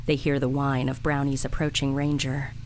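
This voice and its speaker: A woman's voice: extremely low pitch, flat delivery, normal speed. A woman narrator reading scripted, present-tense narration for an audio drama. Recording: background sound-effect noise.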